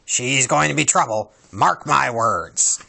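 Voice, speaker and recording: A man voicing a gruff, suspicious storybook dwarf with a huffy, pessimistic manner. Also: mid-range voice, expressive, slow pace, bright, forceful tone, heavily acted. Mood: disgruntled. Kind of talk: storybook character voice.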